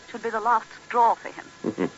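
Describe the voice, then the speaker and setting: A woman's voice: on the high side, very animated, moderate pace. An actress in an old-time radio drama playing a scheming, uneasy woman accomplice with a guarded, knowing manner. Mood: conspiratorial, uneasy. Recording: dull, hissy old radio recording.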